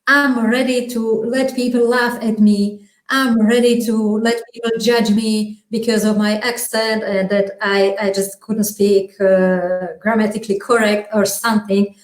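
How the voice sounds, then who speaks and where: Slow-talking woman with a Slovak accent. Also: medium pitch, flat delivery, reverberant, rough recording. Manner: halting, searching for words, frequent restarts and laughs. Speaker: interview guest. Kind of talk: casual interview chat.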